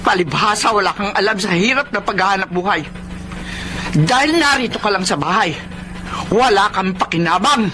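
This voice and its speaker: A woman's voice: medium pitch, animated, normal speed, sharp tone. An actress with a Filipino accent playing a haughty, greedy older mother in a radio drama. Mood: defiant, scornful. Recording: noisy radio drama recording.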